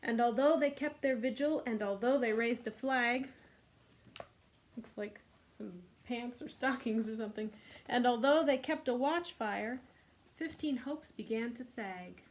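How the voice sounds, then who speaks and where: A woman's voice: on the high side, unhurried, slight background noise. A woman hosting a children's storytime, reading a picture book expressively with casual asides. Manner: expressive, voices the characters' lines.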